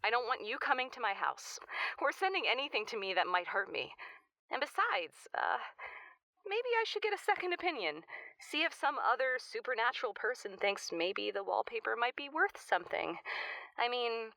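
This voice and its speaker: A bright, fairly high-voiced woman, played by an actress, calling a supernatural radio show. She is uneasy and suspicious and talks in halting, hesitant phrases, in a dry, close audio drama sound. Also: very animated, gravelly, no echo, exaggerated voice, rough recording. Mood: wary, defiant. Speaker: caller.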